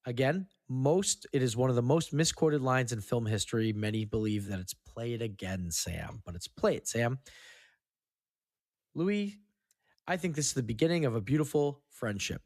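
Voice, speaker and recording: A man's voice: medium pitch, moderate pace, darkish tone, dry recording, clean studio sound. A man hosting a film podcast, talking in a casual, conversational way with some offhand swearing. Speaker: podcast host.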